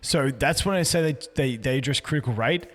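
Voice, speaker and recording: A man with a hoarse, fading voice, talking casually through a game's update notes as a YouTuber. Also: mid-range voice, a little fast, long reverb tail. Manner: chatty and easygoing, restarts words often. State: hoarse, losing his voice. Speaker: gaming YouTuber. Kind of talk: casual game news commentary, reading patch notes.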